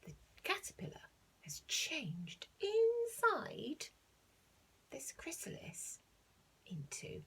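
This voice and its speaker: A woman teacher reading a children's nature book aloud, expressively, pausing to explain things simply and put questions to young listeners. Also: high-pitched, wildly swooping pitch, fairly bright tone, whispered, low-quality recording. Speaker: primary school teacher.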